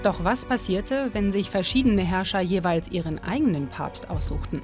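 A woman giving calm, measured scripted narration for a documentary. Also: fairly low pitch. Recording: studio voice-over with clear music underneath.

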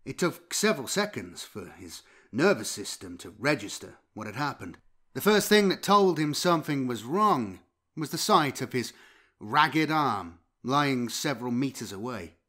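A man's voice: mid-range voice, sing-song, normal speed, fairly bright tone. A man reading dramatic scripted fiction as an audiobook narrator. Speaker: audiobook narrator and channel host.

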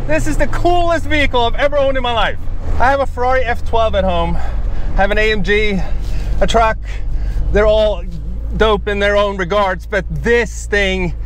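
A man's voice: high voice, normal speed. A man in his forties vlogging from the cab of his moving pickup truck, chatting casually and laughing a lot, with a dashboard camera picking him up. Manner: excitable, laughs often, shouts when thrilled. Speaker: car vlogger. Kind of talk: casual vlog while driving. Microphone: camera mounted on dashboard. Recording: engine and road noise in a truck cab. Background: road and engine noise.

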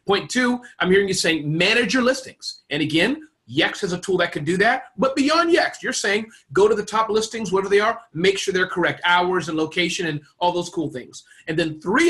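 A man's voice: sits high, a bit bright. A man in his forties hosting a casual interview over video call, playfully recapping what his guests say. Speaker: host. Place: indoor room on a video call.

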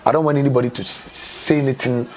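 A man with a Ghanaian accent talks at a rapid clip in a conversational interview. He is emphatic and circles back over his phrases. His pitch is mid-range, and there is some ambient noise behind him. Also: fairly even intonation, a little mellow. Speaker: interview guest. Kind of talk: animated conversational interview, switching between two languages. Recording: clear background noise, ambient sound.

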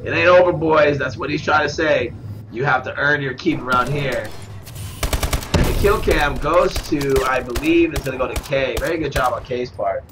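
A man with a stuffed-up nose, commentating live at a gaming tournament, getting excitable on big kills. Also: medium pitch, moderate pace. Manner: excitable, shout-outs to viewers, bursts of hype on kills. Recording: noisy with game gunfire behind.

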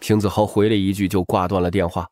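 A man's voice: low voice, little pitch movement, quick pace, a little mellow, no echo. A man narrating an audiobook as a dramatic scripted story.

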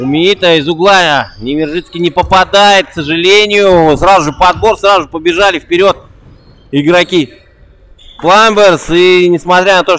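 Excitable male basketball commentator, higher voice. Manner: quick excitable play-by-play with a repeated catchphrase. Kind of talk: live play-by-play commentary. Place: indoor basketball gym.

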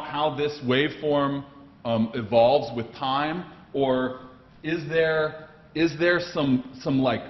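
A man on a panel in a lecture hall, talking eagerly with many stumbles and restarts. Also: mid-range voice. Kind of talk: panel discussion answer. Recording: muffled roomy hall recording with faint noise.

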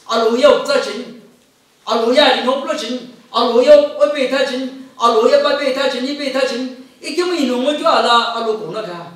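A middle-aged pastor delivers an emphatic sermon in a very high-pitched male voice. He repeats phrases in a declamatory way. The sound rings heavily around the hall and sits at a distance from the microphone. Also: fairly bright tone. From Nagaland, India. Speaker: pastor, preacher. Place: indoor church hall, at a pulpit. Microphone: microphone on a stand at the pulpit. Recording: echoey hall, picked up from a distance.

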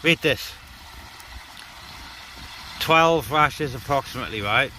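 A man's voice: medium pitch, expressive, slow. A man chatting casually and colloquially to a handheld camera outdoors, as a CB radio hobbyist making a vlog. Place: outdoors on open, breezy moorland beside a car. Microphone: handheld phone or camera, operator behind it. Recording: noisy outdoor recording, vehicle and ambient noise.

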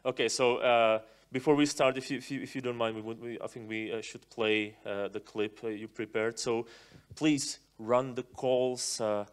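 A man in his thirties hosting on stage, introducing informally in halting, repetitive phrases. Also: medium pitch, steady tone, clear, resonant tone. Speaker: festival host. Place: cinema stage, indoors. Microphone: handheld microphone.

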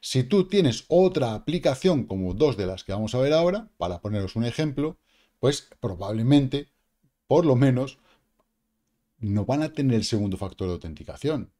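A man's voice: mid-range voice, big pitch swings, moderate pace, mellow. A man in his fifties with a Peninsular Spanish accent, hosting a casual crypto explainer from a small room, chatty and emphatic with tag questions and mild swearing. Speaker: crypto channel host.

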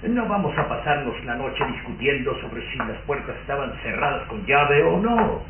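A thin, noisy old radio recording of an elderly aristocratic father. He is pompous, effusive and domineering, with a lively, swooping delivery. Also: medium pitch, normal speed, narrow, tinny audio. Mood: scolding, placating. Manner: pompous, effusive, hesitant phrasing. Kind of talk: old radio drama acting.

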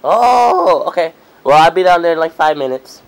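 A young man speaking casually as a customer on a prank phone call, halting and repeating himself. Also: on the high side, expressive, creaky, slight background noise. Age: young adult. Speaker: prank caller, video host. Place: small room at home.

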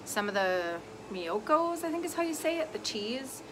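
A woman's voice: high voice, big pitch swings, moderate pace, noisy background. Chatty woman vlogging to her phone. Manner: chatty, giggly, talks to camera. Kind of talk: casual travel vlog. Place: car, restaurant and hotel hallway. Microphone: phone camera at arm's length.

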